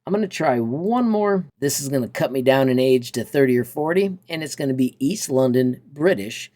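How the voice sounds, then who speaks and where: A man's voice: mid-range voice, animated, normal speed, dark tone. Upbeat man hosting a tutorial. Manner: upbeat, enthusiastic. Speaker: tutorial host. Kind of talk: casual software tutorial narration.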